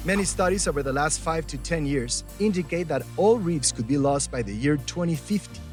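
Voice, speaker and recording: A man's voice: on the high side, expressive, a little fast, soft background music. A man reading scripted news narration for young viewers.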